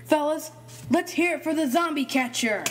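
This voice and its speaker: A woman's voice: high voice, normal speed, background noise, lightly acted. A woman voicing a cocky, cruel young boy with a brash, taunting manner in an audio horror story. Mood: cheering.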